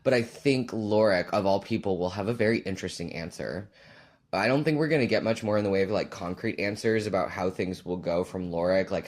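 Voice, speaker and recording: Male podcast listener, slightly low register, informal recorded voice submission. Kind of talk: casual recorded voice message.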